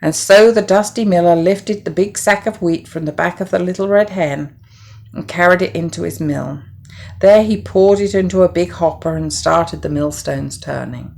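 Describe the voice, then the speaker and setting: A woman reading a children's story as narrator, with an expressive, deliberate storybook delivery. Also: lowish voice, vocal fry.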